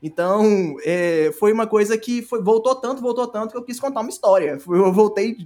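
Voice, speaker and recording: A young Brazilian man chatting casually as an interview guest, informal and halting, often repeating words. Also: high-pitched, darkish tone. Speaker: podcast guest, audio drama creator.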